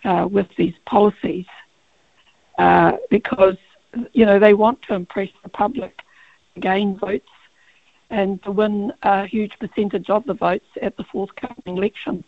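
A woman with a croaky, gravelly voice, a barrister speaking as a guest on a radio interview over the phone, halting with many fillers and restarts. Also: medium pitch, steady tone, no echo. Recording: tinny phone line.

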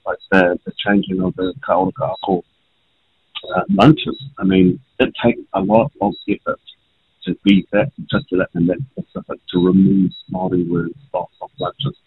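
A deep, gravelly man with a New Zealand accent calls in from a train. His husky voice swings widely in pitch as he argues his point with passion. It all comes down a tinny phone line. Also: big pitch swings, normal speed, dry recording. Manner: impassioned, pointed. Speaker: politician guest. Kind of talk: phone-in interview.

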